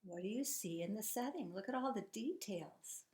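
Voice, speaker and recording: A woman's voice: medium pitch, animated, normal speed, a little mellow. Lady teacher doing a kids read aloud, encouraging, asks questions, roomy echo. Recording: echoey room.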